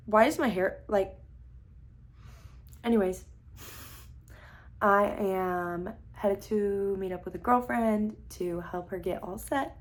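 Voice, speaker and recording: A young woman with a slightly hoarse voice after being sick, chatting casually and rambling with lots of fillers in a beauty vlog filmed on her phone at home. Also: medium pitch. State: hoarse, recovering from illness. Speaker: beauty vlogger. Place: at home, sitting on the floor. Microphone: phone camera.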